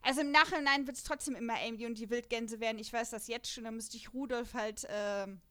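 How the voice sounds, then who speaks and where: A woman chatting casually as a podcast co-host, animated and rambling in manner. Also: fairly high pitch, brisk. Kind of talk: casual chat.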